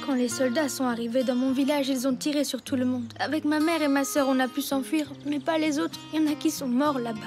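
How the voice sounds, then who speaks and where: A woman's voice: high voice, steady tone, a little fast, few pauses, over music, lightly acted. A woman playing a guarded, wounded young refugee schoolgirl in a French cartoon, speaking tersely and plaintively. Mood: grieving.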